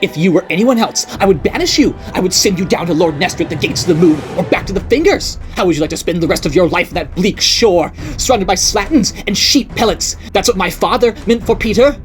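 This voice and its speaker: A woman voicing an unhinged, jealous middle-aged noblewoman who rants and accuses in a shrill voice. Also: animated, a little fast, hardly any pauses, faint music behind, lightly acted. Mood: obsessive. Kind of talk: audiobook character voice.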